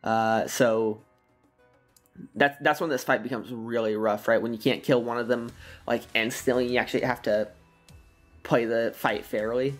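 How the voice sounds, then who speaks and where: Slightly hoarse man narrating gameplay. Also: medium pitch, normal speed, faint music behind. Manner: relaxed, rambling, many fillers and chuckles. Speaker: gaming commentator. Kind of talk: casual gameplay commentary.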